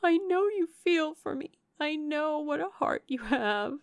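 A woman's voice: high voice, animated, a little slow, dry recording, pitched above the performer's own voice. A woman narrator voicing an anguished, heartbroken young woman from a classic novel, passionate and exclaiming wildly. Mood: remorseful, pleading. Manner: wild, exclaiming, lamenting.

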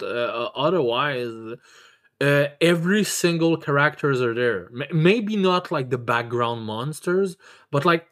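A podcast host chatting casually and enthusiastically, stammering and repeating words, with emphatic swearing. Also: medium pitch. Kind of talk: casual chat, enthusiastic review.

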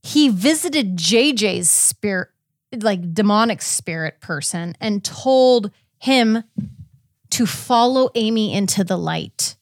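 A woman's voice: medium pitch, expressive, slow, no echo, clean studio sound. A woman podcast host casually recapping a story in a chatty, informal way, with quoted asides. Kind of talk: casual conversational recap of a court case.

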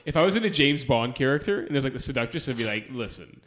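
A man's voice: mid-range voice, animated, quick pace, fairly bright tone. A man in his twenties hosting a casual podcast, rambling and laughing, often asking "you know what I mean". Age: 20s. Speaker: podcast host.

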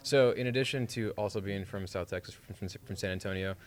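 A man hosting a sports talk podcast, asking questions in a relaxed, hesitant way with short friendly replies. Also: fairly low pitch, fairly quick. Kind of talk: casual interview chat.

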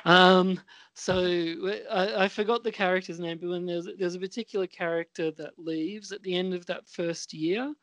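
A woman's voice: on the low side, fairly even intonation, moderate pace, dry recording. A woman in her forties chatting casually as a podcast co-host, rambling a little hesitantly. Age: 40s. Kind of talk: casual chat.

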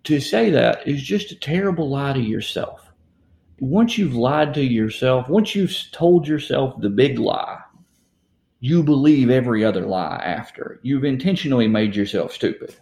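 A man from rural Mississippi talks bluntly in a relaxed podcast chat, his voice rough and gravelly. He argues his point hard, and the room around him rings with noticeable echo. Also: medium pitch, moderate pace. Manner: blunt, argumentative, profane. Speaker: podcast co-host. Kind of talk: casual discussion. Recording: roomy echo.

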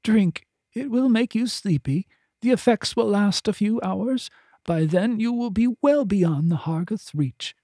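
A man's voice: high-pitched, measured pace, warm tone, no echo, voice pushed up. A man putting on a stern healer who speaks in short, commanding orders, his voice lifted well above the narrator's own. The delivery is clipped and firm, recorded close and dry. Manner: curt, commanding.

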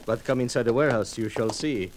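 An actor playing a courteous but evasive Egyptian merchant in an old-time radio drama, with formal, over-polite speech. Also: male voice, medium pitch, quick pace, lightly acted. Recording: vintage radio recording, faint noise.

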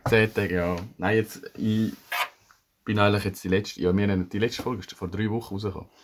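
A man's voice: fairly low pitch, steady tone, fairly quick, a little hiss or hum. A young man with a Swiss German accent chatting casually as a podcast co-host, rambling, searching for words and laughing often. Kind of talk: casual chat.

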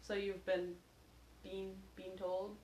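A young woman co-hosting a podcast, teasing quickly and laughing often. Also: mid-range voice, flat delivery, darkish tone, echoey room, far from the mic, a little hiss or hum, low-quality recording. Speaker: podcast co-host. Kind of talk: casual chat.

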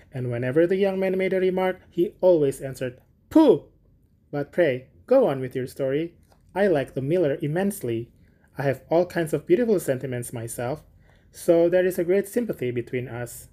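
A woman's voice: low voice, dark tone. A woman voicing a conceited, opinionated water rat in a storybook reading, talking haughtily.

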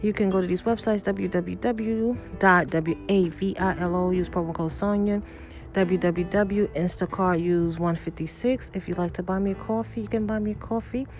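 A woman's voice: medium pitch, moderate pace, soft background music. A woman hosting a podcast, telling a personal story in a casual, colloquial way and acting out what people said. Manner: animated retelling, acts out quoted dialogue; colloquial, informal grammar. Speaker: podcast host.

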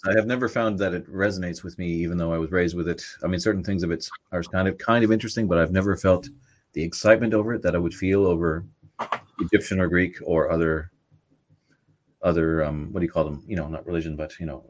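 A man from Canada chatting casually as an interviewer, informal and opinionated, rambling a little. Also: low-pitched. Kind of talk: casual chat.

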